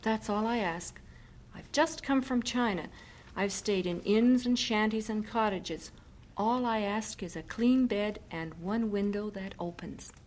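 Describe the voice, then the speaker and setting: A woman acting as a tired yet determined missionary mother in an audio drama. She is earnest and plain-spoken, in a mid-pitched female voice. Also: a little slow, noisy background, close to an everyday voice. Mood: plain, resolute. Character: weary but determined missionary mother back from China.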